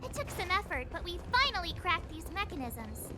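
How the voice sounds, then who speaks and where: A woman's voice: extremely high pitch, very animated, fairly quick. An actress playing a perky, spirited girl adventurer in video game dialogue, with a bubbly, exclamatory delivery. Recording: game music behind.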